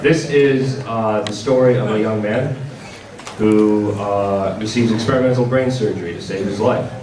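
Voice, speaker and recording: A man giving a product presentation at a convention panel, speaking in a halting, hesitant way. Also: on the low side, fairly even intonation, a little mellow, a little hiss or hum. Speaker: presenter for a visual novel publisher. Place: large indoor convention panel room. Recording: roomy, distant convention hall with audience. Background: audience crowd sounds.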